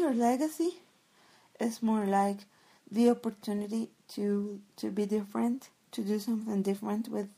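A woman's voice: mid-range voice, vocal fry, mellow, poor audio. A woman from El Salvador, a guest speaking reflectively and searching thoughtfully for her words. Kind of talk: reflective conversation.

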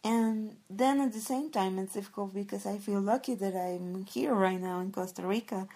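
A woman's voice: mid-range voice, normal speed, warm tone. A woman from El Salvador, a guest speaking reflectively and searching thoughtfully for her words. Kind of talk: reflective conversation.

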